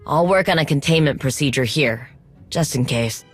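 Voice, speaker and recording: Game tech ally: low-pitched, resourceful, determined, conversational delivery, music underneath. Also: female voice, moderate pace, husky. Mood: wry, concerned. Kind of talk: video game voice acting.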